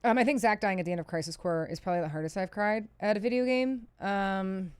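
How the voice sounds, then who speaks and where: A woman's voice: medium pitch, fast, darkish tone, clear, resonant tone, no echo. Casual woman streamer, dry close sound. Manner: candid, chatty, self-deprecating, swears freely. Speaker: livestreamer answering viewer questions. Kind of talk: casual livestream Q&A chat. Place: indoors, seated at a PC.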